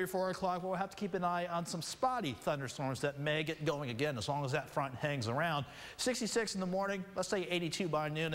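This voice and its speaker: A man with a slightly high voice delivers a broadcast weather forecast in a conversational, ad-libbed way. The sound carries a long, hall-like reverb. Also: fairly bright tone. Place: television studio.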